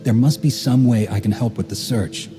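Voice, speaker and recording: A man playing an earnest, resolute heroic knight in a video game, speaking in a measured way. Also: mid-range voice, music in the background, lightly acted. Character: earnest, resolute male Jedi hero.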